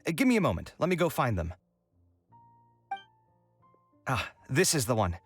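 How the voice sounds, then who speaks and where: Exasperated, theatrical young architect, lively pitch. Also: male voice, fast. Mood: matter-of-fact. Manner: theatrical, indignant, wordy. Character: exasperated, dramatic young male architect, proud and self-pitying. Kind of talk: video game voice acting. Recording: game audio with music behind.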